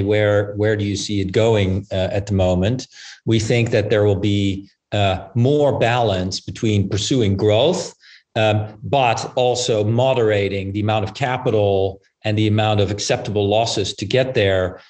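A man giving considered business answers as an interview guest, explaining at length with pauses. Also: lowish voice, a little mellow. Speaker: investment firm partner, podcast guest.

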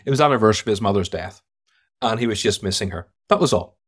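A man's voice: fairly low pitch, expressive, racing, a bit bright, breathy edge. A man with an Irish accent, a guest on a podcast interview, telling stories emphatically and giving blunt verdicts. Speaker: guest sales trainer.

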